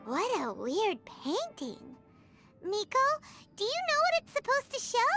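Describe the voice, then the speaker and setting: A woman voicing a small, excitable female companion creature in a video game who talks about herself in the third person. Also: extremely high pitch, a little slow, music in the background, exaggerated voice. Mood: nervous.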